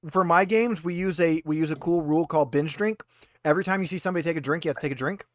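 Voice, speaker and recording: A man's voice: fairly high pitch, racing. A man casually running an online tabletop roleplaying game as game master, with a teasing, joking manner.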